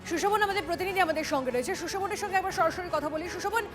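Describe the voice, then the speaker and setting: A woman's voice: very high-pitched, very fast. A woman anchoring breaking television news and handing over to reporters. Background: news music bed.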